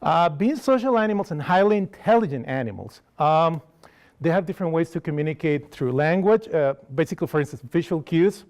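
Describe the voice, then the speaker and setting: A man's voice: fairly high pitch, animated, a little fast, darkish tone. A man from Costa Rica whose first language is Spanish, speaking with a Costa Rican Spanish accent as he gives a conversational public lecture and asks the audience questions. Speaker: wildlife sanctuary educator giving a public talk. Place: lecture hall.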